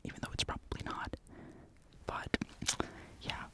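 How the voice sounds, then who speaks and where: A young man from Finland, whispering breathily in a casual, rambling ASMR-like monologue despite a sore throat. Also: unhurried. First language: Finnish. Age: young adult. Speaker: military conscript recounting service.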